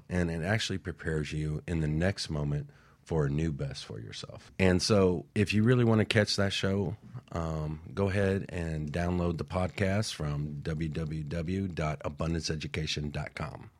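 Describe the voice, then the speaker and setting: A man's voice: low-pitched, no room sound. A man co-hosting a motivational radio talk show, speaking in an encouraging tone and introducing his guest.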